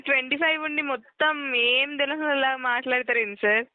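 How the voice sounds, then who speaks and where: A woman's voice: high-pitched, a little fast, no room sound. A woman working as a customer care agent, giving step-by-step instructions over the phone, often repeating herself and checking that she is understood. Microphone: telephone call. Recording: narrow, tinny phone line.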